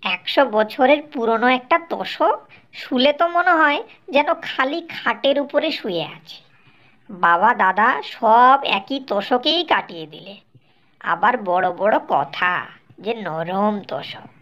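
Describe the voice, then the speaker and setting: A woman voicing a sharp-tongued, exasperated young village wife in a Bengali cartoon, scolding and sarcastic. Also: fairly high pitch, lively intonation, measured pace, lo-fi sound.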